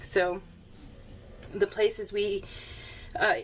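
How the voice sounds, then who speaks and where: A woman's voice: mid-range voice, level tone, drawn-out words. Chatty American woman, slightly distant mic. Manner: rambling, conversational, giggly. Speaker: crafting video host. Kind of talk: casual chatty vlog voiced in real time. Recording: slightly distant mic, faint room noise.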